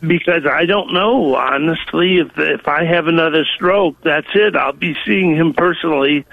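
A man's voice: on the high side, moderate pace, creaky, dry recording. A man calling in to a radio show, telling a personal story with many hesitations and ums. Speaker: caller. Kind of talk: call-in testimony. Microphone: telephone. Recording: tinny phone line.

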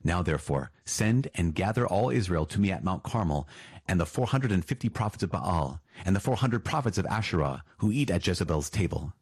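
A man's voice: low-pitched, lively intonation, fairly quick, a little mellow, husky, no echo, studio quality, heavily acted. A man reading as a stern, commanding prophet. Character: stern bold male prophet, commanding and mocking.

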